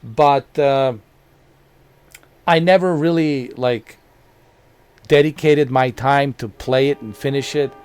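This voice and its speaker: A man's voice: medium pitch, slow pace, long pauses, darkish tone, clean tone, no echo. Rambling man in his forties. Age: 40s. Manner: rambling, repeats phrases, many fillers. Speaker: gaming channel host. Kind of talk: casual talk to camera.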